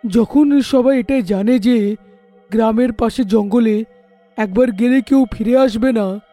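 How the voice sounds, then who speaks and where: A man playing a distraught village man in an animated horror story, speaking in a dramatic, lamenting way. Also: very high voice, a little slow, dry recording, soft background music. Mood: grave, concerned.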